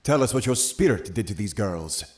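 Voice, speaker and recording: A man's voice: on the low side, expressive, normal speed, darkish tone, close to an everyday voice. An actor playing a formal, probing witch-trial interrogator in an audio drama, accusatory in manner. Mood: formal accusing.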